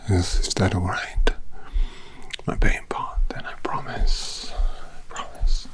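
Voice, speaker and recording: A gentle but predatory male vampire painter leans close and murmurs in a low, hushed whisper. He speaks unhurriedly, coaxing and intimate. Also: fairly even intonation, slow pace. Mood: hungry. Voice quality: soft whispering. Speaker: ASMR roleplay performer. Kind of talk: whispered ASMR roleplay.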